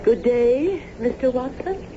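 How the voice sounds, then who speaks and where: A genteel, eccentric lady of the house, effusive and formal. Her voice is very mellow and swoops expressively. It comes through a dull old radio drama broadcast. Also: high-pitched, lively intonation, takes it slowly, slight background noise. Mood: gracious, effusive.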